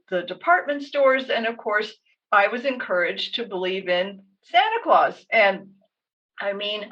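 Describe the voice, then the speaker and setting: Higher-pitched Canadian woman, a little distant. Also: fairly bright tone. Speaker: podcast co-host. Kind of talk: conversational storytelling. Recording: dull, slightly distant microphone.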